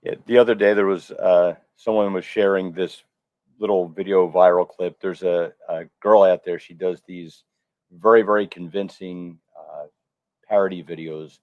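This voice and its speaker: A man's voice: low-pitched, fairly even intonation, moderate pace, dry recording. A man giving casual, opinionated commentary as a panelist, recorded on a lo-fi microphone. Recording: dry, dull lo-fi microphone.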